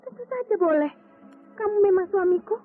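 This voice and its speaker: An actress playing a proud, cool and stubborn doctor wife quarrelling in an Indonesian radio drama. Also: female voice, very high-pitched, lively intonation, fairly quick. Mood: exasperated, cutting. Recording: tinny old radio drama, music behind.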